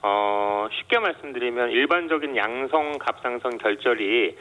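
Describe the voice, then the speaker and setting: A man, a medical doctor, calmly explains medical facts in a radio interview, pausing often with fillers. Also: medium pitch. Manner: measured, explanatory, many filler hesitations. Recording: dull lo-fi radio sound.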